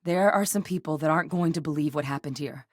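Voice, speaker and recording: A composed middle-aged woman with a low-pitched voice, recorded very dry. She is an earnest scientist and war veteran in a space video game, articulate and measured in her delivery. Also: no room sound. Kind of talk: scripted video game dialogue.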